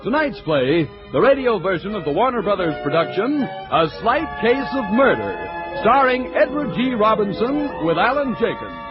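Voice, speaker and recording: A man's voice: high-pitched, very animated, normal speed. 1940s American radio announcer, man, swooping declamatory delivery over prominent music, muffled old broadcast.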